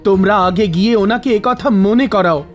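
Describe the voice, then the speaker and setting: An actor voicing a stubborn old mortal man in an animated mythological story, arguing insistently with the gods. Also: mid-range voice, normal speed, faint music behind, lightly acted. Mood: indignant. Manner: argumentative, accusing, insistent.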